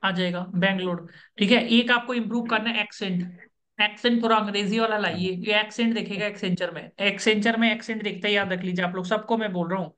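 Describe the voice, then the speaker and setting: A man working as an interview coach, running an online coaching session over a video call, brisk and instructive, repeating the key points. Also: sits high, fairly even intonation, bright, forceful tone.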